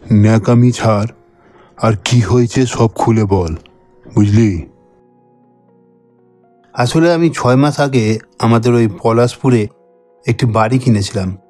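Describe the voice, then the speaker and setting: A man reading a scripted cartoon ghost story, with deliberate, drawn-out phrasing. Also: medium pitch, a little mellow, dry recording. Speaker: story narrator voicing old friends. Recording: dry voice over background music. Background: music.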